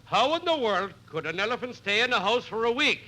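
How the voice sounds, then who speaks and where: A man's voice: sits high, expressive, fairly quick, bright, forceful tone. An actor playing an indignant, officious policeman in a 1950s radio comedy. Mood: incredulous. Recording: noisy vintage 1950s radio recording.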